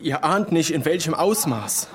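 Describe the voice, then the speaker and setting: An actor playing a vain, melodramatic young eighteenth-century poet in a radio drama, with pompous, florid, old-fashioned diction. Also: male voice, fairly high pitch, animated, unhurried. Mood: lovestruck rapture. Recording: loud outdoor ambience, running water behind voice.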